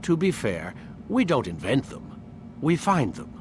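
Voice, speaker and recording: A calm, condescending scientist in a video game, his mid-range voice moving in lively rises and falls. Steady background noise runs under the scene. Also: medium pitch, unhurried.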